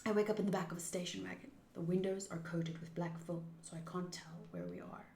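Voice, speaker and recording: A woman streaming a visual novel, switching between measured reading aloud and loud, casual reactions. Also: on the low side, fairly even intonation, vocal fry, reverberant, slight background noise. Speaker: game streamer.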